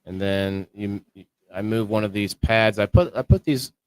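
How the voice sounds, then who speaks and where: Male co-host, low voice, dry close mic, laid-back monotone livestream chat. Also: normal speed, no room sound, rough recording. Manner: low-key, self-described monotone delivery. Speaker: co-host of a live-streamed indoor growing show.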